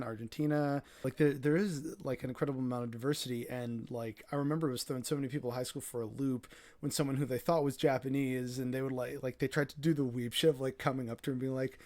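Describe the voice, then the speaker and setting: A man with an everyday mid-range pitch talks as a guest in a casual interview. His delivery is loose and conversational, with plenty of fillers woven through his sentences. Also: fairly even intonation, quick pace, a little mellow. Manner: loose, filler-heavy talk, many "like"s.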